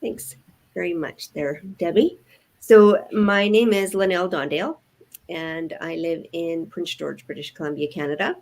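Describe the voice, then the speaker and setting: An older woman, a grandmother, speaking as a guest in a live online business chat in an earnest, measured way. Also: lowish voice, creaky, a little mellow. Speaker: panel guest.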